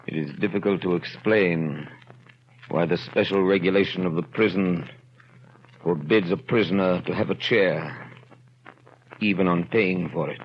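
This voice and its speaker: Rigid, methodical inspector, slow measured delivery, vintage 1930s radio with audible hiss. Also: male voice, sits low. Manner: slow, deliberate, formal dictation of a numbered report. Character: Javert, rigid, methodical, formal French police inspector. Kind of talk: 1930s radio drama acting. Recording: muffled, noisy 1930s radio recording.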